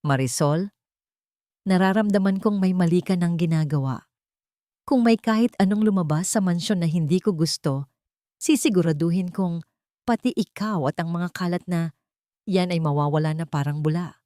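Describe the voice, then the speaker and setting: Woman acting a cold, menacing, low-voiced mansion mistress. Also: normal speed, long pauses, mellow, no room sound, clean studio sound, natural voice. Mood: cold threat. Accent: Filipino. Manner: threatening, icy.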